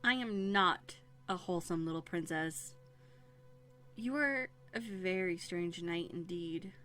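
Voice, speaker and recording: Woman acting a low-voiced, haughty runaway princess. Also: slow, fairly bright tone, faint music behind. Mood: skeptical, challenging. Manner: prim, formal, indignant phrasing with dramatic hesitations. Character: haughty, wary young runaway princess, quick-tongued. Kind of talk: scripted audio roleplay acting. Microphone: close USB studio microphone.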